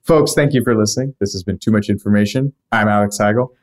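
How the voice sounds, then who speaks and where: A man's voice: lowish voice, fast talker. A man hosting a podcast, reading scripted narration broken up by casual asides. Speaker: podcast host.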